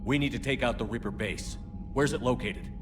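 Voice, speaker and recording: A man's voice: mid-range voice, fairly quick, far from the mic, background noise, natural voice. A man playing a principled human military commander in a video game, direct and commanding. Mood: firm.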